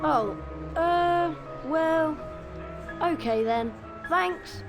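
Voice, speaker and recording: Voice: very high-pitched, very slow, over music, heavily acted. An actor voicing a modest, brave English schoolboy wizard with a polite, earnest manner in a video game. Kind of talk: video game cutscene acting.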